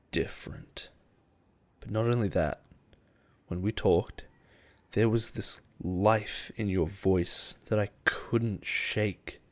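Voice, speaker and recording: A man playing an obsessive, possessive, lovesick boss in a romantic roleplay audio drama, with an intimate, dramatic delivery full of pauses. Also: on the low side, a little mellow. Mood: coy, intimate.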